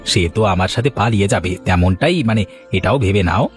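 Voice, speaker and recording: A man's voice: lowish voice, fairly quick, natural voice. A man playing a sly, smug young swindler and boyfriend in a Bengali cartoon, cocky and wheedling. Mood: smug, scheming. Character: sly, smug young male swindler sweet-talking his girlfriend. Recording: faint music behind the voice.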